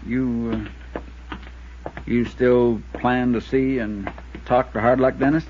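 An actor playing an anxious, insistent small-town American insurance agent in a 1950s radio drama, earnest and pleading, with a husky voice. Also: male voice, medium pitch, fairly even intonation, slow pace, a little hiss or hum, natural voice. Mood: worried, pressing. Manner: earnest, pleading, hesitant under pressure. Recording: dull lo-fi 1950s radio recording.

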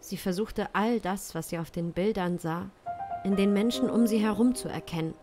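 A woman in her thirties tells an improvised story as dramatic narration. Her pitch is average for a woman, the microphone is close, and music plays clearly behind her. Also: moderate pace. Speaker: improv audio drama performer. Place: small indoor studio. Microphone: close studio microphone with pop filter. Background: musical backing.

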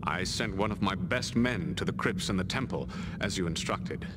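A man's voice: low voice, expressive, normal speed. A male actor playing an obedient, uneasy henchman in a video game, formal and deferential. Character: obedient, anxious male henchman of a dark lord. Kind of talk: video game cutscene acting. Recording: heavy noise behind game voice.

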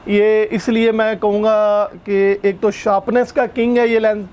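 A man with a high, hoarse voice walks through camera features in a steady, explanatory way, listing specs one after another. He sounds close and clear on a clip-on mic inside a shop. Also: a little slow, a little hiss or hum. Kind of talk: explaining product specs and prices. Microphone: lapel mic.

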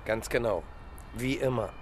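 An actor playing a precise, fact-loving police detective in a radio crime drama, with a matter-of-fact, pedantic manner. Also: male voice, mid-range voice, unhurried, close to an everyday voice. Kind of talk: naturalistic German radio crime drama acting.

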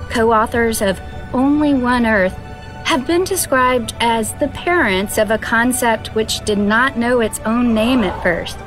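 A woman's voice: a little high, fairly bright tone, poor audio. A woman playing a composed woman author and pioneer, speaking formally in a scripted monologue. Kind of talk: scripted first-person monologue.

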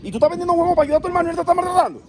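A man's voice: very high-pitched, rapid-fire, over a noisy crowd. A man playing a young man who defends a mistreated girl and comforts her. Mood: indignant. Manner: excited, scolding, then consoling. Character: young man stepping in to rescue and help the girl. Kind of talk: amateur street drama acting. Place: outdoors on a street.

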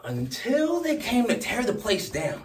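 Echoing and off-mic, a male actor plays a bitter, unhinged man in a theatrical monologue. His delivery is slow and halting, with volatile swings from hush to outburst. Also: deep voice, very animated, full character voice. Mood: frantic, anguished. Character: bitter, unhinged man confronting an old acquaintance. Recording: roomy echo, distant mic, faint noise.

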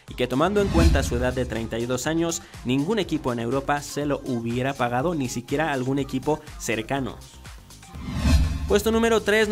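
Guy in his 30s hosting a football top ten video, mid pitched voice, music behind him. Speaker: YouTube host. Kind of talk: scripted football countdown narration. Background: background music.

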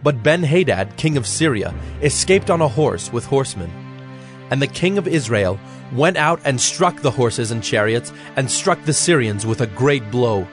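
A man narrating an audio Bible as a scripted, measured storyteller. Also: medium pitch, expressive. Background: music.

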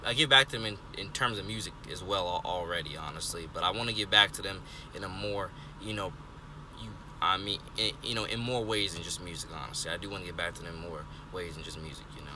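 A young man of twenty talks into a phone held close in his car, earnest and repetitive, circling back on himself with fillers. His voice sits a little low but sounds bright and forceful. A faint hum of the street sits behind him. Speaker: vlogger. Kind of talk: rambling casual monologue. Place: parked car, indoors of vehicle. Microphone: phone held close, selfie framing.

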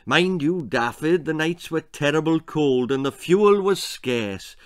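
A man's voice: on the high side, expressive, unhurried, clean tone, dry recording, clean studio sound. A man voicing a rambling, nostalgic old Welsh grandmother in a children's storybook, prattling wistfully.

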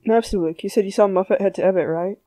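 Woman as a mellow, low-key child. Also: fast talker, natural voice. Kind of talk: audio drama acting.

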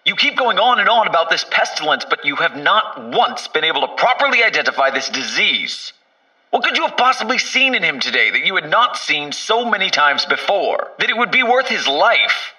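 A man's voice: sits high, big pitch swings, normal speed, bright, forceful tone, far from the mic, full character voice, low-quality recording. A man playing a stern, accusing doctor who interrogates a contained creature in an acted drama. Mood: exasperated, accusing.

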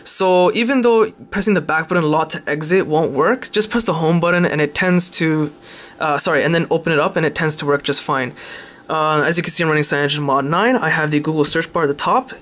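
A man's voice: a little high, fairly even intonation, a little fast, a bit bright. A man giving a casual, unscripted tech demonstration in an informal, hesitant way, often correcting himself. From Canada. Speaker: tech video presenter.

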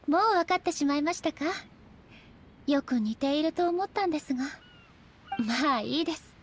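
A gentle, earnest warrior deity from a Japanese game. She has a high voice with soft, courteous phrasing that sometimes turns breathy. The delivery is polite and sincere. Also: a little hiss or hum. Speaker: video game voice actress. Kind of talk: Japanese game character voice acting.

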